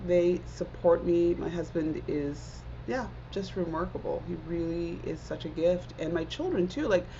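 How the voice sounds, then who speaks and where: A woman's voice: lowish voice, normal speed, background noise. A woman in her forties from Zimbabwe, a guest speaking earnestly and reflectively in a casual interview, often dropping in "like". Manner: earnest, reflective, many "like" fillers. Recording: dull lo-fi audio.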